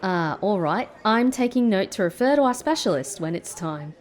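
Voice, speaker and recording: An actress playing a guarded, sardonic former secret agent in an audio drama, polite and measured with a dry wit. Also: female voice, medium pitch, animated, natural voice. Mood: polite, professional. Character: guarded, sardonic woman, former secret agent turned call-centre worker.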